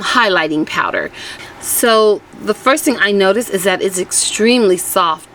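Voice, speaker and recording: A woman's voice: medium pitch, fairly bright tone. A woman with a slightly scratchy, strained voice, chatting informally as the host of a casual makeup review vlog. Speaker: beauty vlogger host.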